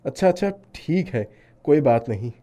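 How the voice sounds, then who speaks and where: Man playing a gentle worried shopkeeper, mellow voice. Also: medium pitch, expressive, normal speed, close to an everyday voice. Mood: gentle, caring. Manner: earnest, soft-hearted. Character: devout, gentle, worried shopkeeper, devoted son. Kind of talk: animated moral story dialogue.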